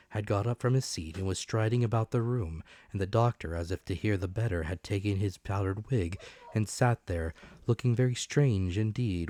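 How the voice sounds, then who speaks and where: A man's voice: deep voice, mellow, dry recording. A man reading a classic adventure story as an audiobook narrator, with dramatic scripted delivery.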